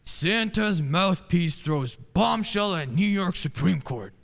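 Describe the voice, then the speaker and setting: A man acts out an idealistic defense lawyer in a radio play. His voice is very high and lively, full of earnest pleading, and rings with long hall reverb. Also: slow, creaky, heavily acted. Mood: eager, idealistic. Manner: eager, theatrical pleading. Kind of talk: stage-style radio play acting.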